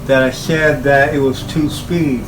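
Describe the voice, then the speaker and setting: A man giving an informal, halting talk about equipment as a hobbyist presenter, speaking in short phrases. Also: mid-range voice, fairly even intonation, over music, rough recording. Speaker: hobbyist presenter showing his tape machines.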